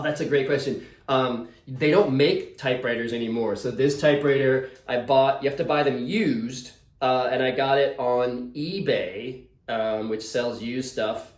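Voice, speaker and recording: Guy author chatting to a class on a video call, mid pitched, upbeat explainer, kinda echoey room. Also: darkish tone. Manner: enthusiastic, explanatory. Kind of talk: casual Q&A with schoolchildren.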